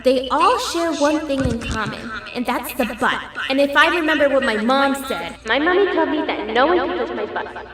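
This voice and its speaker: Brief: a woman playing a puzzled little girl, high and earnest, echoey room, crowd behind. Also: lively intonation, normal speed. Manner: earnest, methodical musing.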